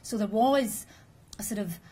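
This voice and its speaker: A woman speaks to a room of listeners in a measured, explanatory way, with a dry sense of humor. Her voice is husky and mellow, warm rather than bright. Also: medium pitch. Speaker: conference speaker. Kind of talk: formal presentation with audience questions.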